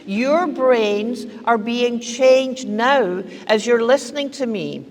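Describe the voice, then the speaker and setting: A woman's voice: on the high side, takes it slowly. An older woman with a Scottish accent giving a humorous, emphatic keynote talk at a podium mic. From Scotland. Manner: lively, joking, emphatic, gesturing. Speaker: keynote speaker. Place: large hall with seated audience. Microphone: podium mic on stand. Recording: long reverb of large hall.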